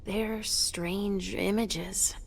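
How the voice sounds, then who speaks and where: A woman's voice: mid-range voice, fairly even intonation, extremely slow, vocal fry, heavily acted. A woman playing a troubled, fragile vampire mother in a dramatic game cutscene, with a hesitant, emotional delivery. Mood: hesitant, doubtful. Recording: faint music behind the voice.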